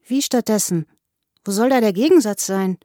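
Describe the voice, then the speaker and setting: A woman's voice: medium pitch, moderate pace, warm tone, no room sound, clean studio sound, close to an everyday voice. A woman playing a cool, sober young female student in an audiobook, speaking in a curt, earnest way. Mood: cool, dismissive. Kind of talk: audiobook character acting.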